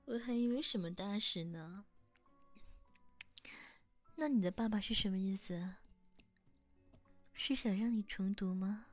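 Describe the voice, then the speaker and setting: A woman in a whispered ASMR roleplay, gently coaxing a younger boy as his hairdresser, in a soft big-sister tone, close to the microphone. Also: mid-range voice, slow pace, pauses often, no room sound, soft background music.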